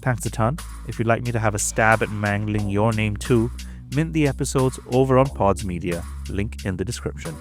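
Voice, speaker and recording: A man reading a scripted podcast introduction in a measured way. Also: on the low side, soft background music. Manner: measured, read-out delivery. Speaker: podcast host.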